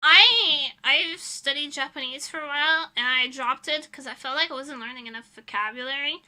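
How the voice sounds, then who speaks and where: A woman's voice: high voice, normal speed, pushed and bright. A young woman streaming as a VTuber, chatting casually with her viewers in a rambling, giggly way.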